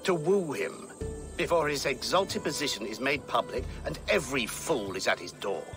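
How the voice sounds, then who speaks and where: A man's voice: mid-range voice, lively intonation, normal speed, over music, close to an everyday voice. An actor playing a scheming, fussy older English churchman in a video game, talking in a wry, self-important, theatrical way. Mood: scheming, haughty. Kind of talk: video game cutscene acting.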